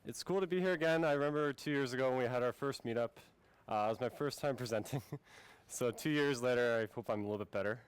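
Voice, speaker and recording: A young man born in Finland presents a tech talk in a friendly, relaxed way. His voice is smooth and clear at a middle pitch, and the sound is dry and close to the mic. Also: fast talker, no room sound. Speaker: conference speaker.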